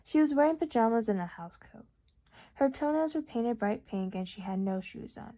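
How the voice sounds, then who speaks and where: Soft-spoken woman reading an audiobook. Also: on the high side, animated, a little fast. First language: Urdu. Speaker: audiobook reader. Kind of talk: audiobook narration.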